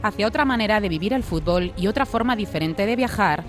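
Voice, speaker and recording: A woman with a Castilian Spanish accent presents as show host over clear music. Her voice sits at a middle pitch, and she reads a prepared introduction. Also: animated, quick pace. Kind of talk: scripted introduction and casual co-hosting. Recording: music behind the voice.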